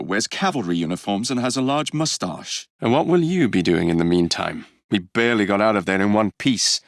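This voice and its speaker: An actor with an English accent playing a cocky, roguish young Victorian gang leader in a video game, wry, brash and teasing. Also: male voice, on the low side, gravelly, fairly bright tone, no echo, studio quality, exaggerated voice. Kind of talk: dramatic video game dialogue.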